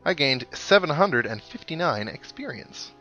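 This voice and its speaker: A man's voice: fairly high pitch, very animated, moderate pace, pushed and bright, lo-fi sound. A man hosting a casual let's play, chatting to viewers and thinking aloud with some wry joking. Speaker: gaming video host. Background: video game music.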